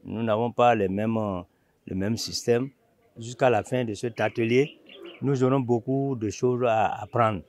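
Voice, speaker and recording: A man's voice: mid-range voice, steady tone, measured pace, darkish tone. A man, a mayor, makes a formal interview statement.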